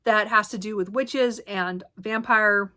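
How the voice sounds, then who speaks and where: Female book vlogger, casual and chatty, bright tone, slight hoarseness, meandering delivery full of fillers. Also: medium pitch, slow pace. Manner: rambling, many ums and self-corrections. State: slightly hoarse, clearing throat. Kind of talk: casual book haul chat.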